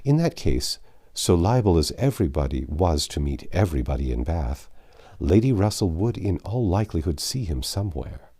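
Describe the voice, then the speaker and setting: A man's voice: low voice, very animated, moderate pace, warm tone, husky. A man reading a classic novel aloud as an audiobook narrator, in a scripted storytelling style.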